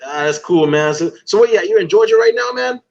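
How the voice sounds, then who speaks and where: A young man from Guyana hosting a casual interview, animated and playful, talking in easy slang. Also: high-pitched, brisk, creaky, lo-fi sound. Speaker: podcast host.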